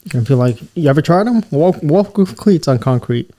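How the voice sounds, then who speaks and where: A man with a New York accent talks casually on a podcast. His voice is dark and mellow, and he slips into mimicry of other accents as he tells his stories. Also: on the high side, fairly quick. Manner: animated storyteller, mimics accents, says bro. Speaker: podcast co-host. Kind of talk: casual chat.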